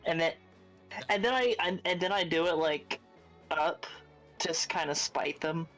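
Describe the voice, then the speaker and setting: A man with a voice a little above the usual pitch chats casually with friends on a stream. He talks quickly and laughs at himself. Faint music runs underneath. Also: fairly bright tone, rough recording. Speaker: co-host on a game prep stream.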